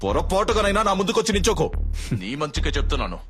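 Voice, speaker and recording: An actor playing a hot-tempered, sarcastic police officer in a film, speaking heatedly. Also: male voice, high voice, big pitch swings, very fast, over music. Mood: angry, scornful. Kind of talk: film drama dialogue.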